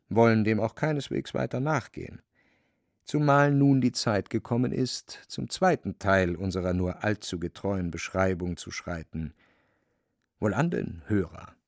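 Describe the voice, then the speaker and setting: Casting: male narrator for literary radio drama, gravelly mid-range voice, dramatic delivery, dry close sound. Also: on the low side, darkish tone, dry recording.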